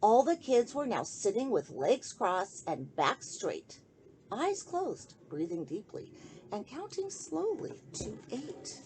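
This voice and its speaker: A woman reading a rhyming children's story aloud in an expressive, sing-song way, speaking directly to young listeners. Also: a little high, slow, noisy background.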